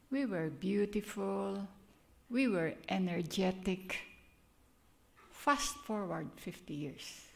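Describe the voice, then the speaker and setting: An older woman with a Filipino accent preaches in a church, earnest and chatty, laughing at herself. Her voice carries a gravelly edge. She speaks at an unhurried pace, with pitch in the middle range. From Philippines. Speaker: guest speaker. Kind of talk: sermon with prayer.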